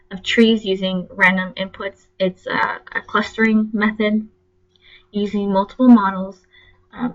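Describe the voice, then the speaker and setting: A woman's voice: mid-range voice, fairly bright tone, dull audio. Stuffy-nosed young woman presenting a class project. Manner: plain, a little halting, with frequent ums and self-corrections. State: congested from allergies. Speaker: student presenter.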